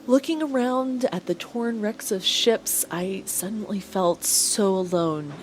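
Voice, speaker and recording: A woman playing an anxious young starship pilot who narrates her own story in a first-person audio drama. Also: a little high. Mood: uneasy, worried.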